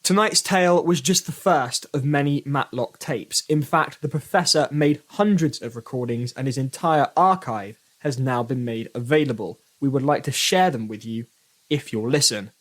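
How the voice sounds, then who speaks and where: A man's voice: mid-range voice, moderate pace. A man hosting a horror anthology podcast, reading a scripted outro. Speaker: podcast host.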